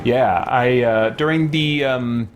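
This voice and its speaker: A man hosting a gaming let's play with casual live commentary and animated, theatrical reactions. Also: medium pitch, slow pace, faint music behind.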